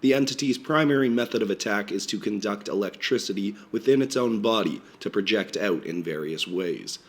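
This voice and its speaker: A man's voice: lowish voice, a little fast, lightly acted. Man playing a stiff, formal research lecturer. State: strained voice from a cold.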